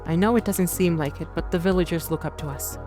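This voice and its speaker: A woman playing a low-voiced resolute rebel daughter. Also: over music, lightly acted. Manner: earnest, measured, resolute. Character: determined young woman, chief's daughter, rebellious. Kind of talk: audio drama acting.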